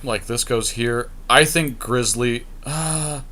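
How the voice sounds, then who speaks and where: A man's voice: medium pitch, slow pace, bright, forceful tone. Casual male gaming podcast co-host. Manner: informal, profane. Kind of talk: casual card game draft commentary with a friend.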